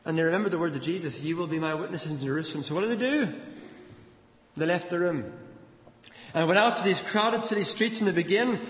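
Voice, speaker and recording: A man's voice: fairly high pitch, quick pace. A man with an Irish accent preaching a sermon, retelling a passage as dramatic storytelling with repeated words and rhetorical questions. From Ireland. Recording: echoey large hall, slightly dull sound.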